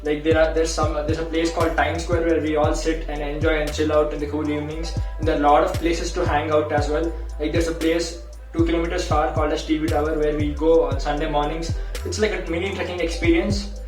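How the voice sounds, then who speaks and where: A man's voice: fairly high pitch, level tone, fairly quick. A young adult man with a South Indian accent, a college student chatting casually and emphatically about campus life. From Hyderabad, India. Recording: echoey room, distant mic, music behind.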